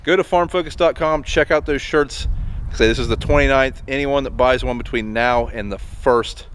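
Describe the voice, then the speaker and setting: A man's voice: mid-range voice, moderate pace, fairly bright tone, slight background noise. Plain-spoken American man, casual vlogger. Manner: plain-spoken, unscripted, folksy. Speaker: farmer and video host. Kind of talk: casual vlog explanation to viewers. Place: inside a large fabric hoop barn. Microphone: handheld camera at arm's length.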